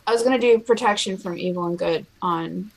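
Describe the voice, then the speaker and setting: A woman's voice: on the low side, a little fast, low-quality recording. A woman chatting casually as a player in a tabletop roleplaying game.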